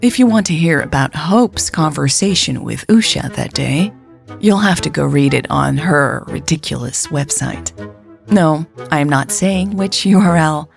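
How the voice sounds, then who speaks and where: Female storyteller, lower register with some creak, confiding first-person romance narration over faint music. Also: expressive, unhurried. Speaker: podcast storyteller.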